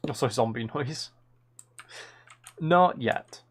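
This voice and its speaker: A man's voice: mid-range voice, slow, slight background noise. A man chatting casually on a live game stream, musing and thinking aloud as he plays. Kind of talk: casual live stream chat while playing.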